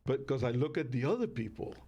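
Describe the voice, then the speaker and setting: A man's voice: medium pitch, big pitch swings, fast, dark tone, dull audio, a little hiss or hum. An older man hosting a casual talk show, telling rambling stories with lots of fillers. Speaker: show host. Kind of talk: casual chat.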